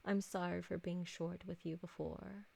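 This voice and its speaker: A woman playing an elegant, possessive vampire noblewoman in a roleplay ASMR drama, speaking formally with deliberate diction and doting on her servant. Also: lowish voice, level tone, vocal fry, mellow, slightly rough, full character voice. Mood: tender, grateful.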